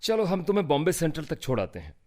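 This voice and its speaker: An actor in a Hindi radio play voicing a friendly, obliging uncle with a familiar, coaxing manner. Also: male voice, on the high side, sing-song, fast, a little mellow.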